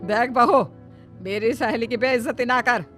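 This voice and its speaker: A woman's voice: fairly high pitch, measured pace, a bit bright, soft background music. A woman voicing a jealous, theatrical older mother-in-law with a whiny, taunting manner in a cartoon moral story. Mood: irritated. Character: scolding, jealous older Indian mother-in-law, shrill and dramatic.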